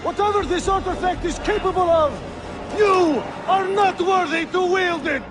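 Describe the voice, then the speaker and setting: A man's voice: extremely high pitch, normal speed. An actor playing a proud, resentful male assassin, an accusing rival who speaks with indignant, theatrical emphasis in a video game cutscene. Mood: bitter, furious. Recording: loud music under the dialogue.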